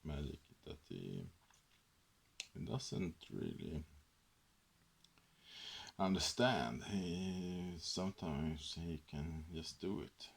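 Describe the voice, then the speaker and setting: Very deep, gravelly, slow man. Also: expressive. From Sweden. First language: Swedish. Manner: rambling, hesitant, many fillers; drifts into whispers. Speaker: ASMR host. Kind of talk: soft-spoken ASMR book chat.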